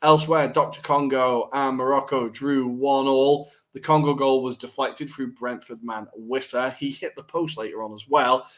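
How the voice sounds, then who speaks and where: A man co-hosting a podcast, talking through football results in a loose, animated way. He is blunt with his opinions and slips into laughter easily. His voice is mid-pitched and ordinary. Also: moderate pace, hardly any pauses. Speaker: podcast co-host. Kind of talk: casual football recap chat.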